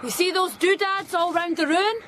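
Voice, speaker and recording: An actress playing a breezy, mocking grown child who is eerily pleased, in a radio drama. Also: female voice, very high-pitched, moderate pace, fairly bright tone, slight background noise. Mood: airy, mocking.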